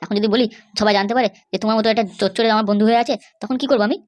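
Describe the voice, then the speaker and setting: A woman's voice: fairly high pitch, steady tone, very fast, natural voice. A woman voicing an irritable, anxious young groom-to-be in a comedy cartoon, scolding in an exasperated way. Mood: indignant.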